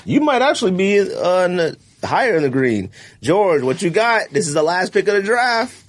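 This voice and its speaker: A man co-hosting a podcast, teasing his friends in loose banter and laughing loudly. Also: normal speed. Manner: teasing, laughs loudly, lively swings in pitch. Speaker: podcast co-host. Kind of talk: casual banter.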